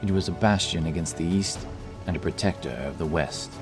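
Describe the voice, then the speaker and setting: A man narrates a fantasy audiobook in a low-pitched voice. The delivery is scripted storytelling. Music is plainly present behind the narration. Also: fairly even intonation, fairly quick.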